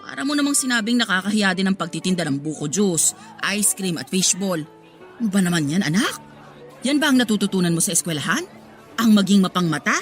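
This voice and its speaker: A woman's voice: medium pitch, lively intonation, a little fast, soft background music, natural voice. A woman as an exasperated, hard-working market mother. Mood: scolding. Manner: pleading, scolding, motherly. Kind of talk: Tagalog radio drama acting.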